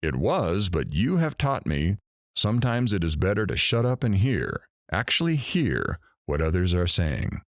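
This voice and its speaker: Man acting a solemn, low-voiced radio host. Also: very animated, measured pace, no room sound, studio quality, heavily acted. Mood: reflective. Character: weary, reflective male radio talk show host.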